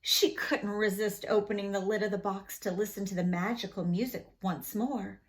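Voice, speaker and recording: A woman's voice: mid-range voice, fairly even intonation, normal speed. A woman narrating a children's storybook expressively, with dramatic, careful delivery for young listeners.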